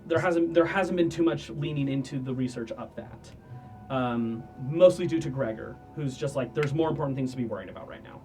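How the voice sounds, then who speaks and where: A man's voice: mid-range voice, a little fast, mellow, faint music behind. A man narrating as dungeon master of a tabletop role-playing game, describing scenes and answering players.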